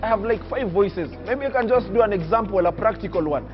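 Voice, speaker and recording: Young man, from Kenya, high-pitched, emphatic speaker, muffled audio, soft music underneath. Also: fairly quick. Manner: oratorical, emphatic, preacher-like cadence. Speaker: young public speaker interviewed on TV. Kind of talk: earnest personal storytelling.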